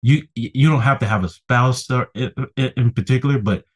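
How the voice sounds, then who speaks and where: A man's voice: medium pitch, fairly quick, slightly rough. A man hosting a podcast, asking long, rambling questions in an encouraging way. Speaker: podcast host. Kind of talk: casual interview talk.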